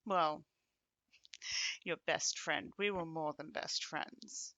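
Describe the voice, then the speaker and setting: A woman's voice: low voice, bright, forceful tone, no echo, exaggerated voice. A woman playing a bitter, taunting young ex-girlfriend in an ASMR roleplay, speaking to the listener in a teasing, sardonic way. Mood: teasing, familiar. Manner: teasing, sardonic, with dry chuckles and pointed pauses.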